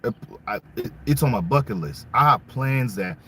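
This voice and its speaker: A man's voice: mid-range voice, lively intonation, normal speed, pushed and bright, a little hiss or hum. A young man from Congo giving a casual, emphatic opinion monologue full of rhetorical questions, his not English. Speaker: vlogger.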